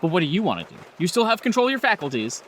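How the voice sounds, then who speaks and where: Animated high-pitched man running a game. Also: rapid-fire, slight background noise. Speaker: game master. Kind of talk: running a horror tabletop roleplaying game.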